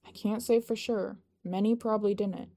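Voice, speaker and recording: Level-headed male aide, read by a woman, mellow, matter-of-fact, gently chiding, for audio fiction. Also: mid-range voice, fairly even intonation, moderate pace, close to an everyday voice. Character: patient, practical male aide to a young boss.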